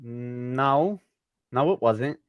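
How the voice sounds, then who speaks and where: A man's voice: medium pitch, drawn-out words, clear, resonant tone, no echo, lo-fi sound. A man playing a mischievous, joking sound man in a 1940s-style radio play. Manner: joking, animated. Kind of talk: 1940s radio drama acting.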